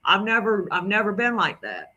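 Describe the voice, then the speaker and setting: Southern drawl guy ranting about clips, kinda high voice, real bright and forceful, keeps repeating himself. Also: sing-song, normal speed, lo-fi sound. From Southern United States. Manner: rambling, indignant, repeats himself. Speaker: commentary creator. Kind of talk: casual commentary on clips.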